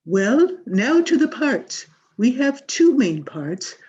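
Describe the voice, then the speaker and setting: Through a dull video-call recording comes a grandiose female drama coach, acted by a woman. She drags out her emphatic instructions in a theatrical radio-comedy manner, with a husky voice that swings widely in pitch. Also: sing-song, darkish tone, exaggerated voice. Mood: brisk, instructive. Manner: theatrical, emphatic, drawn-out delivery. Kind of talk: 1940s radio comedy acting.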